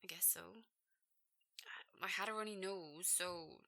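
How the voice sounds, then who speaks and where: Actress as worried sister, quiet, brief, gently reproving, sharp bright tone, audio drama dialogue. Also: female voice, on the low side, measured pace. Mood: subdued, concerned. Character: concerned, subdued sister checking in by call. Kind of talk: script reading dialogue.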